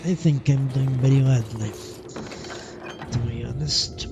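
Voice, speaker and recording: Male livestream gamer, medium pitch, slow delivery with vocal fry; casual, self-mocking, resigned commentary over game music. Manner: self-deprecating, resigned, mildly profane. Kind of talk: casual live gameplay commentary.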